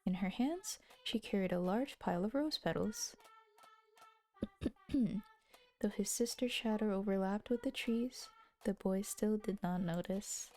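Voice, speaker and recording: A young woman with a husky, mellow voice reads story narration and drifts into giggly, chatty asides. Faint music plays behind her. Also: mid-range voice, a little slow. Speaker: streamer reading a visual novel aloud. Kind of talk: scripted narration with casual asides.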